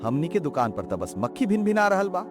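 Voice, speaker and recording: Cartoon rival shopkeeper, male actor, Bhojpuri accent: jealous schemer, mid-pitched, very expressive, music underneath. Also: a little fast. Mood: envious, bitter. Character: envious, scheming rival shop owner, baffled boss. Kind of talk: animated moral story dialogue.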